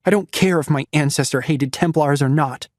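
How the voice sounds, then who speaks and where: A man's voice: on the high side, normal speed, a little mellow, no room sound, studio quality, natural voice. A man voicing a blunt, defiant teenage girl who protects her younger brother.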